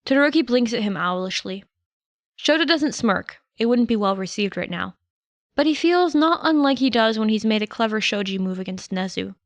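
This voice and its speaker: A woman's voice: on the high side, normal speed, no echo. A woman reading a story aloud as a scripted audiobook narrator, close to the microphone. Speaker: podfic narrator. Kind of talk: scripted narration of fan fiction.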